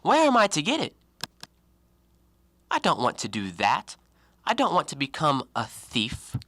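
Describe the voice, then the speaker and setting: Audio drama: male actor for a self-righteous, scornful intellectual man; bright voice, precise argumentative diction, very dry close sound. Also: a little high, huge pitch swings, measured pace, dry recording, full character voice. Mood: wary, reasoning. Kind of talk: audio play acting.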